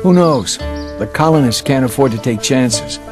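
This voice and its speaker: Man as resolute, animated mercenary commander. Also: mid-range voice, a little slow, music in the background, natural voice. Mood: steady. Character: resolute young mercenary commander, bold and gruff. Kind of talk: scripted game cutscene acting.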